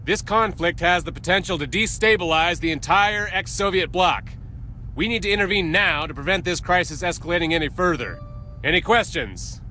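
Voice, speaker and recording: Military captain, video game, slightly high pitch, bright forceful tone, authoritative briefing manner. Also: male voice, normal speed, slight background noise. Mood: stern, commanding. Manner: authoritative, formal, clipped. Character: stern male military captain briefing marines.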